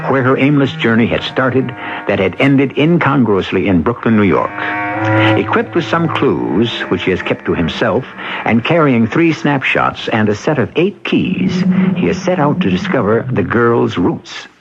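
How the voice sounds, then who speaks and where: Formal male radio host over loud music. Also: medium pitch, sing-song. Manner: measured, formal, grave. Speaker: radio drama host and narrator. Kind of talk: dramatic scripted narration. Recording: dull old radio recording.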